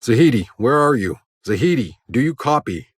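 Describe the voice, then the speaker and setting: An actor playing a gruff, world-weary soldier and scavenger in a video game, terse and dry, with a low husky voice. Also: male voice, big pitch swings, moderate pace, dry recording. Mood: urgent, commanding.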